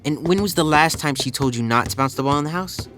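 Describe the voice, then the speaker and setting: A man's voice: fairly high pitch, fast talker, fairly bright tone, a little hiss or hum, natural voice. A male performer playing an earnest, annoyed teenage stepbrother, insistent and nagging. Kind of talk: audio drama dialogue.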